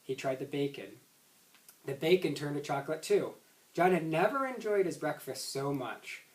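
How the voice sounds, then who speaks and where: A man's voice: fairly high pitch, animated, normal speed. Man reading a storybook in an echoey room. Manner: stumbles and rereads words. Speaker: school administrator reading aloud to children. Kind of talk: expressive children's storybook read-aloud. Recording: roomy echo, distant microphone.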